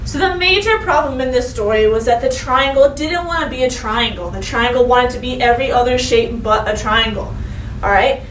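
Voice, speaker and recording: A woman with a high voice speaks as a teacher to young children. She is expressive and instructive, addressing listeners directly. The recording sounds roomy and distant, with faint noise. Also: a little fast. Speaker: elementary school teacher. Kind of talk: read-aloud storytelling and lesson for children.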